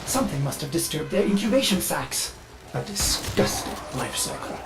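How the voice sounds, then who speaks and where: Swooping, fussy robotic ship AI. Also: male voice, on the high side, very animated, moderate pace, vocal fry, noisy background. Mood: fussy, curious. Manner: fussy, theatrical, over-solicitous. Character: eager, anxious, doting robotic ship AI servant. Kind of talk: video game character dialogue.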